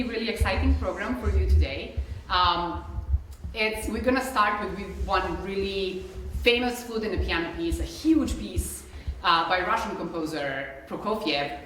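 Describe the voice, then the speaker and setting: A woman's voice: mid-range voice, a bit bright, far from the mic, slight background noise. A young woman with a Serbian accent, chatting casually to a concert audience with many fillers, as a flutist introducing the program. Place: concert hall. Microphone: distant room mic. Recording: echoey hall recorded from afar.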